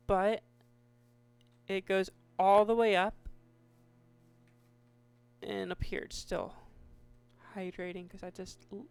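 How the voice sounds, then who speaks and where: A woman with a hoarse voice giving casual, meandering commentary over her Minecraft gameplay, restarting sentences often. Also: fairly low pitch. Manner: slow, meandering, with many restarts and fillers. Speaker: Minecraft YouTuber.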